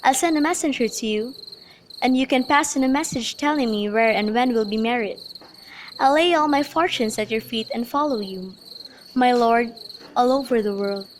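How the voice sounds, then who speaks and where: Woman as earnest young romantic heroine, amateur radio Shakespeare, higher register, slightly noisy home recording with insects. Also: moderate pace, close to an everyday voice. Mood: tender, devoted. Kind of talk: student radio drama acting of modernized Shakespeare.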